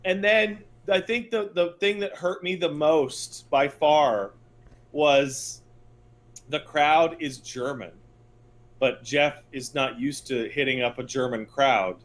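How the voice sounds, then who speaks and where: A man with a fairly high voice talks casually as a podcast co-host. He rants in an emphatic, exasperated way and leans on hyperbole to make each point. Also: takes it slowly, fairly bright tone. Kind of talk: casual podcast chat, ranting commentary.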